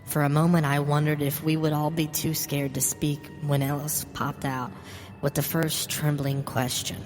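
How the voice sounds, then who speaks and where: A woman with a low voice narrates a scripted horror story in the first person. Her voice carries a long reverb, and music plays behind her. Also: level tone, moderate pace.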